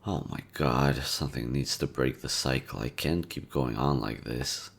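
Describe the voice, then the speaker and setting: A young man with a gravelly, fry-heavy voice, relaxed and gently teasing. He speaks softly to a listener, as in an intimate audio roleplay. His pitch sits very low throughout. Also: moderate pace. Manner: laid-back, teasing, soft-spoken. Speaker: voice actor.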